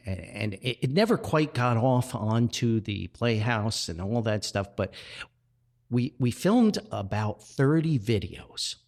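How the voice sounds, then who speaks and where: A man's voice: on the low side, a little mellow. A man hosting a podcast, telling personal stories in a casual, folksy, enthusiastic and chatty way. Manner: folksy and chatty, addresses listeners as friends. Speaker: podcast host and writer.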